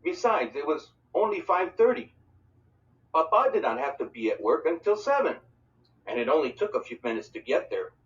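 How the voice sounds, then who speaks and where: A man reading a children's novel aloud as an expressive, dramatic narrator. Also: fairly high pitch, moderate pace. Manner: dramatic, voices dialogue for characters. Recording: distant mic, dull lo-fi sound.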